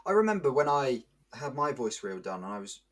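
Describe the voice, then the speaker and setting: A man's voice: mid-range voice, big pitch swings, a little fast, a bit bright. A man asking casual interview questions, drawing on his own experience as a voice actor.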